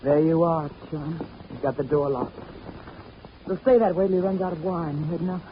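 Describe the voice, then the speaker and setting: A woman's voice: deep voice, fairly even intonation, moderate pace, close to an everyday voice. A woman playing a tough, sarcastic yacht wife. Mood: sardonic. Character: sharp-tongued young wife on a yacht, cynical. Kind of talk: 1940s radio acting. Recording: dull old radio recording with faint hiss.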